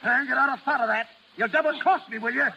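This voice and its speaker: An actor playing a bitter, hot-tempered middle-aged carnival barker and father in a 1930s radio drama, forceful and slangy. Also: very high-pitched, fast talker, full character voice. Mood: furious. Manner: rough slangy speech, forceful. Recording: noisy old 1930s radio recording.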